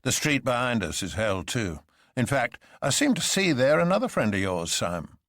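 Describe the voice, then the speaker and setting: A man's voice: mid-range voice, very animated, fairly bright tone, no room sound, studio quality, pitched above the performer's own voice. Man acting a cool, drawling cynic. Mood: cold. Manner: slow, drawling, cold.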